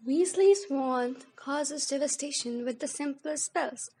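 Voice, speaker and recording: Woman doing a cold sly man professor, high voice, slow and snooty, audio drama. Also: darkish tone. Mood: dry disdain. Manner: measured, disdainful, formal. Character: cold, sly male potions professor in wizard school.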